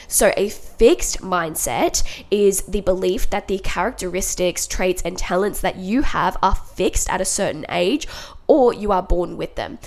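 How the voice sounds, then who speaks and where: A woman with a stuffy head cold records her podcast at home, her blocked nose and sore throat leaving her voice husky and low with a gravelly, fried edge. Her pitch sits in an ordinary middle range. She talks to her listeners in a chatty, upbeat way. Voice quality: deeper and huskier than usual from the cold. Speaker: podcast host and life coach. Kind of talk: solo podcast monologue. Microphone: home podcast mic.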